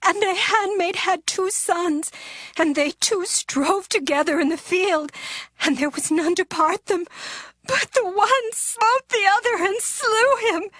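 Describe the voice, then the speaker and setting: A woman's voice: very high voice, takes it slowly, fairly bright tone, dry recording, full character voice. A woman playing a plaintive widow supplicating a king in a biblical audio drama. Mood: distressed pleading. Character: pleading widow, a wise woman feigning mourning. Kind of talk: dramatized scripture reading with archaic English.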